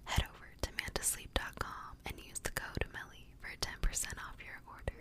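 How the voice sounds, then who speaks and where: A woman hosting an ASMR podcast in a soft, breathy whisper, speaking gently and without hurry into a close microphone. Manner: gentle, slow, unhurried delivery. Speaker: podcast host.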